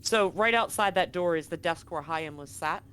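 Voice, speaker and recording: A game master narrating a tabletop horror game in a dramatic, suspenseful way, with deliberate pauses. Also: mid-range voice, a bit bright, a little hiss or hum.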